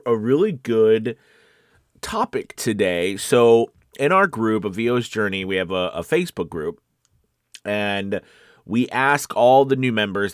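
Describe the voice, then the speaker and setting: A man hosting a casual livestream coaching show, talking in an animated, emphatic, conversational way. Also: on the low side, dry recording, clean studio sound. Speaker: host of a voice-acting coaching show.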